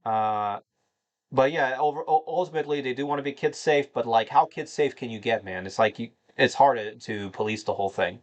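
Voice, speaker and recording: A man's voice: medium pitch, brisk, lo-fi sound. A man in his thirties from North Carolina, casually chatting and answering viewer questions on a livestream, rambling with many fillers. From United States (North Carolina). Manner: rambling, filler-heavy, addresses chat as "man". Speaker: livestream host answering chat.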